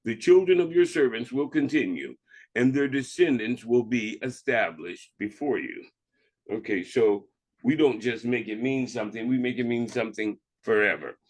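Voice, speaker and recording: A man speaking as a reverend, reading scripture and devotional reflections in a measured, deliberate way. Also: mid-range voice.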